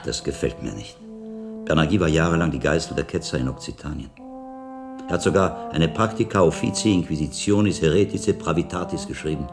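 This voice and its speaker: An actor playing a learned, wry monk-detective in a medieval radio play, speaking in a measured, ironic way. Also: male voice, a bass voice, gravelly, music in the background. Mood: wary, sardonic.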